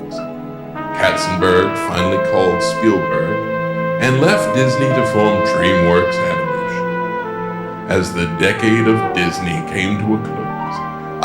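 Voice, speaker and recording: Casting: male actor for grave storyteller, mock-tragic radio play. Deep voice, roomy echo, loud brass music behind. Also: measured pace. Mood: solemn. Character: solemn male narrator telling a mock-tragic epilogue. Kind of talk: scripted narration in radio drama. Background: loud trumpet music.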